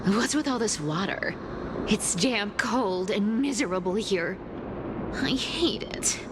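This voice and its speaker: A woman's voice: mid-range voice, lively intonation, slow pace, noisy background. A woman voicing a sly, playful young trickster thief in a video game, greedy and boastful, with a dramatic, teasing manner. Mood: flustered. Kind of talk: animated video game acting.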